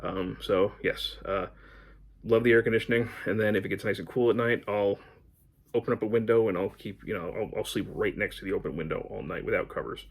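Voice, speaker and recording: A man's voice: lowish voice, brisk, husky. A middle-aged American man chatting casually as a book vlogger, in a rambling, conversational way. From United States. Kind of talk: casual chat to camera, answering book prompts.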